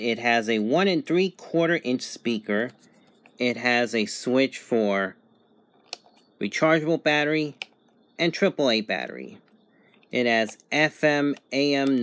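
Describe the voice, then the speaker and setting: A man narrates a casual unboxing at an unhurried pace. He moves methodically from point to point in short, halting phrases. His medium-pitched voice is recorded indoors with very little room sound. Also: fairly bright tone, clear, resonant tone, dry recording. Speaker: product reviewer.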